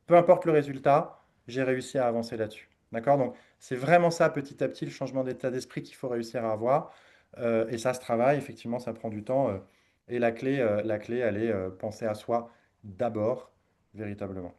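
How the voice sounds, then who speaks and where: A man's voice: medium pitch, fairly even intonation, brisk, darkish tone. A man leading a workshop, talking informally and encouragingly to a group, repeating key words for emphasis. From Ivory Coast. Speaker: workshop speaker. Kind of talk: informal coaching talk to a group.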